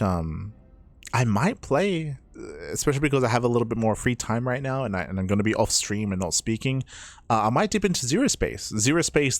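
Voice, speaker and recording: A man with a hoarse, raspy voice, an esports caster chatting casually as he signs off a stream, rambling and repeating himself. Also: medium pitch, no echo. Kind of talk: casual stream sign-off chat.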